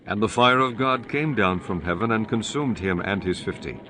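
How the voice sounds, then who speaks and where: A man's voice: fairly low pitch, moderate pace, bright, forceful tone, a little hiss or hum. A man narrating a dramatized scripture audiobook in a solemn, formal, scripted style. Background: music.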